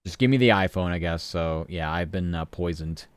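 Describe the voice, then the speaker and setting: Low, smooth-voiced male streamer rambling. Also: normal speed, no echo. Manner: rambling, chatty, answers chat, swears casually. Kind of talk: casual live-stream chat.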